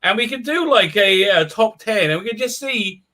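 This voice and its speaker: A man hosting a casual livestream, excitable, interrupting with lots of stammered fillers. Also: high voice, moderate pace. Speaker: livestream host. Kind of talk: casual chat.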